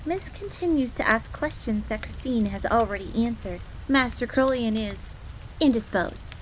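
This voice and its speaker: A woman's voice: a little high, normal speed, lightly acted, rough recording. A woman playing a loyal, easily flustered tsundere fox maid in an audio roleplay, speaking formally and stiffly and referring to herself in the third person. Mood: prim, haughty. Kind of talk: scripted audio roleplay acting. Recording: steady rain noise in background. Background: rain ambience.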